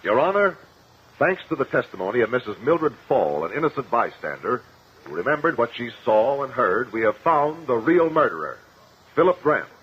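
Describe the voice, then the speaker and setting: An actor playing a shrewd, confident courtroom investigator in a 1950s radio drama, speaking formally and precisely. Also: male voice, mid-range voice, very animated, moderate pace, husky. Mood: confident, explanatory. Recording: muffled, noisy old radio recording.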